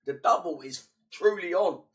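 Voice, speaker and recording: A man's voice: high-pitched, normal speed, fairly bright tone, far from the mic. A young man with a blocked-up, fading voice, chatting casually as he streams a football management game and mutters between bursts. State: congested, voice partly gone. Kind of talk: casual gaming commentary.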